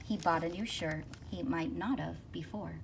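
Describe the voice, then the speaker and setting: Woman, lowish pitch, storybook read-aloud, rhythmic rhyming delivery, expressive, slightly distant mic, faint noise. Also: vocal fry. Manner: rhythmic, deliberate phrasing of rhyming verse. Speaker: school counselor reading aloud. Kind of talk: expressive children's picture book read-aloud.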